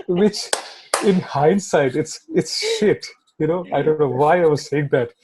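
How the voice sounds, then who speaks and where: A man's voice: on the high side, very animated, slow, noisy background. A middle-aged man from Kolkata, native Bangla speaker with an Indian English accent, talking as an interview guest in a rambling way full of fillers and "right?" tags. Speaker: guest filmmaker. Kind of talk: casual interview chat.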